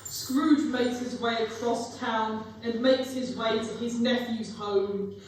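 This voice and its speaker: A woman's voice: fairly high pitch, steady tone, takes it slowly. A young woman narrating a scripted story in a live dramatic reading. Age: young adult. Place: museum room, live performance. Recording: echoing hall, distant mic, faint noise.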